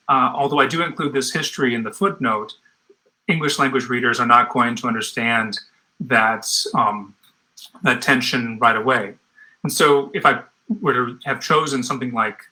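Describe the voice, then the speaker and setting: A man with a very bright, sharp voice explains his choices as a translator on a panel. He talks thoughtfully and stops often to search for words. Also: mid-range voice, rough recording. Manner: thoughtful, hesitant, many fillers. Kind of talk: panel discussion.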